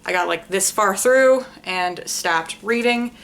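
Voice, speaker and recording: A woman's voice: medium pitch, takes it slowly, a bit bright. A young adult woman chatting casually as a book vlogger, rambling and self-deprecating, with informal language and swearing. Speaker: book vlogger, channel host. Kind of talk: casual book review chat to camera.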